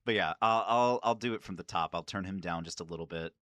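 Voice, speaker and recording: A man hosting a casual, rambling fan video in an excitable, self-deprecating way. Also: low-pitched, fast, no echo, clean studio sound. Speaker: amateur voice-acting enthusiast, video host.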